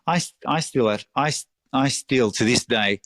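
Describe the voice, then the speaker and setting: A man's voice: mid-range voice, moderate pace, a bit bright, no echo. A middle-aged Australian man, a restaurateur answering podcast interview questions, talking passionately and bluntly, swearing freely and restarting often. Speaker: restaurateur guest.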